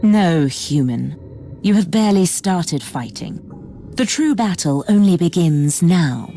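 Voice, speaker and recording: A woman's voice: on the low side, lively intonation, slow pace, mellow, soft background music. An actress playing a cryptic, haughty female alien seer in a sci-fi video game, portentous and disdainful. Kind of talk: dramatic video game dialogue.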